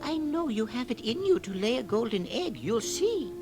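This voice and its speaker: A man voicing a gentle, hopeful female friend on a children's storybook record, soothing and reassuring. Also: extremely high pitch, sing-song, normal speed, pitched above the performer's own voice, heavily acted. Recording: vintage record with music behind.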